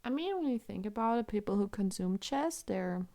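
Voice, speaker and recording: A woman's voice: medium pitch, expressive, moderate pace, dark tone. A woman from Germany with a German accent, commentating chess on a live stream, thinking aloud and answering chat questions.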